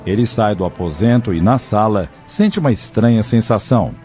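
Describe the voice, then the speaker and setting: A man's voice: fairly low pitch, animated, normal speed. A man narrating an audio drama from a script. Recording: muffled lo-fi, loud music behind.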